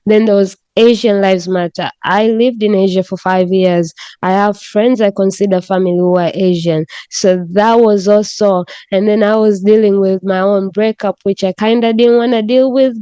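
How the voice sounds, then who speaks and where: A woman's voice: medium pitch, dark tone, clean tone, no echo, highs cut off. A woman from Tanzania, a podcast guest speaking frankly and with animation, swearing freely. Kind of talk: candid conversational storytelling.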